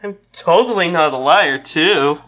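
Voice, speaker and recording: A woman as a low, sly villainess. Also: unhurried, fairly bright tone. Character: evil, sly female villain, theatrically deceitful. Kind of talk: amateur audio drama acting. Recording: dull lo-fi home recording.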